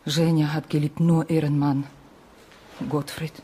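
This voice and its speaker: An actress playing a guarded, loyal Ukrainian woman witness who answers in short, halting phrases with a Ukrainian accent. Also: deep voice, little pitch movement, mellow, a little hiss or hum. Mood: earnest.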